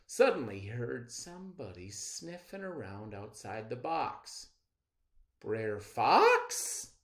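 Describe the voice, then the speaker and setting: A man reading a children's story aloud as a storyteller, with expressive, deliberate phrasing. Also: medium pitch.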